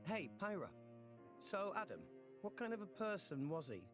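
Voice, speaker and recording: A man's voice: high-pitched, very animated, normal speed. An actor playing an earnest, good-natured teenage boy hero in a video game, with a hesitant, sincere delivery. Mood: curious. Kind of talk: video game cutscene voice acting. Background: orchestral music.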